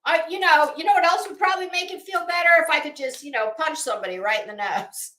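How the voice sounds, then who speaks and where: High-voiced, echoey middle-aged woman chatting. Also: fast. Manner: chatty, rambling, expressive self-deprecating asides. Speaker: livestream host. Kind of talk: casual livestream chat with viewers. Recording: roomy, echoey indoor sound.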